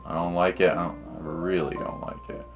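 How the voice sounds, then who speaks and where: A man with a very low voice and plenty of fry chats casually through a game for his stream. He talks in slang and thinks out loud as he goes, with game music behind him. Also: little pitch movement, a little fast, dull audio. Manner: slangy, musing aloud to himself. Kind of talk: casual gameplay commentary, chatting to livestream viewers.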